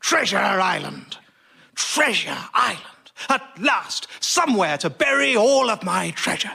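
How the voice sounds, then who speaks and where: A man's voice: high voice, big pitch swings, a little slow, creaky, bright, forceful tone, husky, heavily acted. A British man playing a grandiloquent raconteur telling tall stories in a comic radio monologue, pompous and theatrical. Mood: grandly dramatic.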